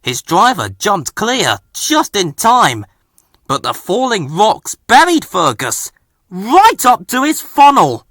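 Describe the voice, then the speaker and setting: Guy narrating a kids storybook, super animated, bright voice, dry recording. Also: high voice, slow, no echo. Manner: expressive, dramatic storytelling. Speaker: storybook reader. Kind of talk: scripted children's story narration.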